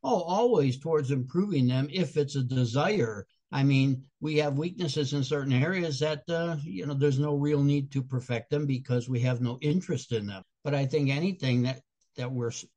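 An older man with a Chicago accent speaks at a middle pitch, answering interview questions. He explains his points earnestly, sometimes restarting phrases before settling into what he wants to say. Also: moderate pace, warm tone. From Chicago, USA. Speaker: guest speaker and comedian.

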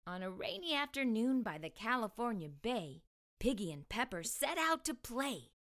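A middle-aged woman hosts a children's storytime in a fairly high, very lively voice. She reads expressively and unhurriedly, shaping each phrase for young listeners. Her tone is bright and clear-cut. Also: normal speed. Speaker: children's storytime host and reader. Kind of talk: read-aloud storytelling for children. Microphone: lapel mic.

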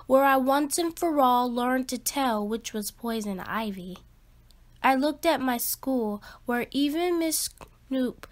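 A woman with a fairly high voice reads a children's picture book aloud. She takes it very slowly and phrases things with deliberate emphasis, in an expressive storytelling style.

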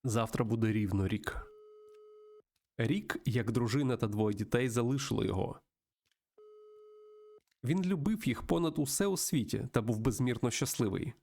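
A man reading a visual novel aloud as a let's play host, in an expressive storytelling manner. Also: mid-range voice, moderate pace, gravelly, long pauses. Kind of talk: narrated visual novel playthrough reading with asides.

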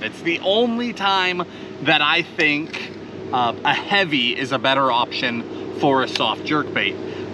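A man's voice: a little high, noisy background. A man in his thirties, an enthusiastic fishing host explaining gear step by step while sitting on a boat on a lake outdoors. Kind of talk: instructional fishing talk to camera.

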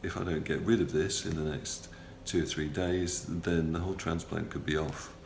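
A middle-aged man talks in a very deep, gravelly voice, halting and matter-of-fact, pausing often on ums and uhs. He has a cold and sounds a little stuffy. The camera-close recording from a small room is roomy, with a faint hum behind him. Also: steady tone, a little mellow. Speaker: patient video blogger. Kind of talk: casual video diary. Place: small hospital room, indoors. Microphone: phone or camera close up, front-facing.

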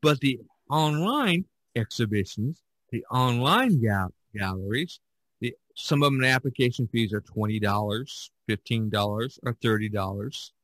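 A man's voice: mid-range voice, expressive, measured pace, fairly bright tone, no echo. A man hosting a casual podcast discussion as a painter, talking in a rambling, chatty way.

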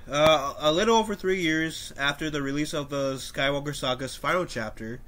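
A young man with a stuffy nose, talking casually to his webcam at home, reading an article aloud and adding his own opinions with lively gestures and frequent coughs. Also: a little high, moderate pace. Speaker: YouTuber. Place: small room at home, indoors.

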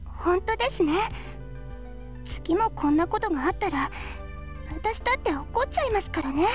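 A very high, hesitant, sulky girl from an anime visual novel, soft-spoken over background music. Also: expressive, quick pace. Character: timid, sulky teenage girl in love.

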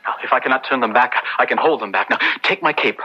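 An actor playing an anxious, compassionate parson in a radio drama, speaking earnestly and urgently in formal, old-fashioned English. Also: male voice, mid-range voice, fast, close to an everyday voice. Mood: frantic. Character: large, anxious, compassionate male parson helping a fugitive. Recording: muffled, very noisy old radio recording.

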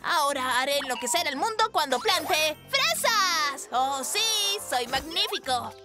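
Casting: boastful cartoon bear cub, very high childlike pitch, wildly swooping delivery, dramatic, music behind. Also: big pitch swings, a little slow. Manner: exclamatory, dramatic.

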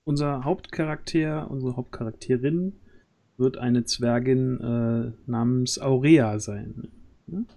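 A man's voice: mid-range voice, takes it slowly, creaky, warm tone. Man hosting a casual livestream. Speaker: stream host. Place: small dark room at home. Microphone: large microphone close to the mouth.

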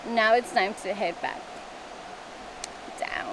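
A woman's voice: mid-range voice, fairly even intonation, fairly bright tone, rough recording. A woman in her thirties vlogging to a handheld camera on a forest stairway, out of breath from the climb and chatting between sighs. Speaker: travel vlogger. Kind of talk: casual vlog talk to camera. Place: outdoor forest stairway near a waterfall. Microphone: handheld camera at arm's length. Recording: outdoor camera audio with waterfall noise. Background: rushing waterfall noise.

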